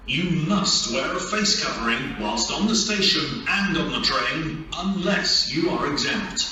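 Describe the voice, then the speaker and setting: Sharp, bright man on echoey PA. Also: fairly high pitch, lively intonation, measured pace. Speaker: railway station announcer. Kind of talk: recorded scripted safety announcement. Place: railway station, over public address speakers. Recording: echoey distant station PA, faint wind noise.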